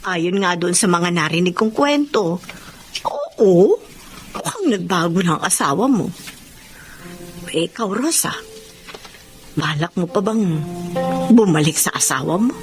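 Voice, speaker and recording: An actress with a Filipino accent playing a concerned older sister in a radio drama. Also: female voice, fairly low pitch, big pitch swings, a little slow, long pauses, faint music behind. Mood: confiding. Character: concerned older sister bringing news to her sibling.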